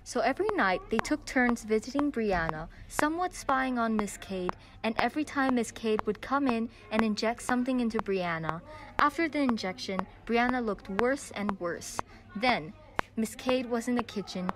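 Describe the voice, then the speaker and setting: A woman's voice: on the high side, natural voice. A teenage girl narrating an amateur audio drama in a scripted storytelling style. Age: young teenager. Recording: noisy amateur student recording.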